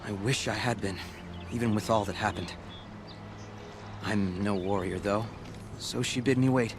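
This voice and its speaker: A man's voice: on the low side, steady tone, background noise, natural voice. A man playing an earnest young shaman apprentice. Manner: earnest, measured and solemn. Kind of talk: video game voice acting. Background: game music.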